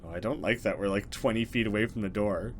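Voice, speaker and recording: A man's voice: lowish voice, fast talker, a little hiss or hum. A man hosting a gaming video, talking casually with wry asides and mild swearing as he reacts. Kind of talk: let's play reading and reacting.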